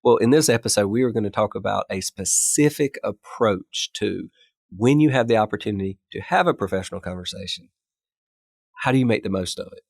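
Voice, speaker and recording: A low-voiced man with a cold, his voice gravelly and scratchy from congestion. He talks easily and explains things as a podcast co-host, recorded close and dry with no room sound. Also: animated, fairly quick, no echo. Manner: conversational, explanatory, laughs easily. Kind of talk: casual podcast chat.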